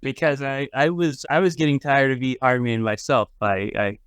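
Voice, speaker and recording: A man from Ohio talks in a smooth, clear voice, stumbling and repeating his first words before he settles into a thought. The recording is dry and close. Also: medium pitch, steady tone, a bit bright, dry recording. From Ohio, United States. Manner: halting, repeats words as he starts sentences. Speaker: interview guest. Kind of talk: casual conversation.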